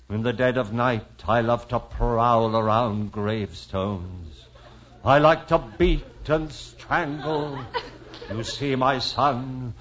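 A man's voice: mid-range voice, a bit bright, slight background noise. An actor playing a doting, bloodthirsty werewolf father in a 1950s radio comedy sketch. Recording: dull old radio recording with music.

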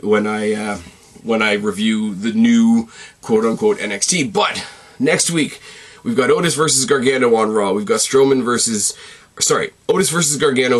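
Casting note: male host, wrestling commentary, slightly raspy, opinionated, swears, rambles. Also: lowish voice, moderate pace. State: losing his voice, a bit raspy. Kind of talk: casual commentary.

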